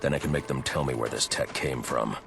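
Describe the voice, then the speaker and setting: A man's voice: rumbling low, steady tone, brisk, gravelly, heavy background noise. A man playing a grim masked vigilante detective in a video game, terse, stern and brooding. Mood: grim, determined.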